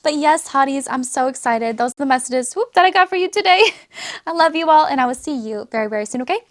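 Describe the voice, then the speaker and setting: Chatty high-voiced woman reading tarot. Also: lively intonation, fast. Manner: chatty, lots of "like" and laughs. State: losing her voice. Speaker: tarot reader. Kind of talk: casual pick-a-card tarot reading.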